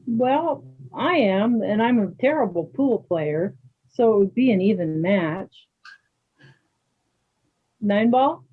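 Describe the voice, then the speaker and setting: A woman playing a calm, dignified older yoga teacher in readers theater, chatting and gently probing with questions. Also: mid-range voice, takes it slowly, pauses often, breathy edge. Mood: friendly, inviting. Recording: thin phone-like band-limited sound.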